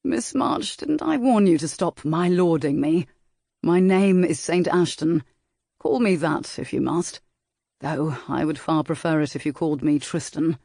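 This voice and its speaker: A woman's voice: low voice, measured pace, creaky, mellow, dry recording, studio quality, heavily acted. A woman voicing a languid, teasing aristocratic rake who drawls his lines.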